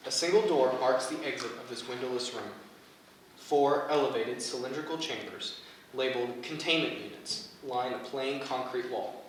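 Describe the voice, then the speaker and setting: A man's voice: mid-range voice, moderate pace. A man narrates a play script, reading out settings and stage directions. He is recorded at a distance in a very reverberant room, with faint noise behind him.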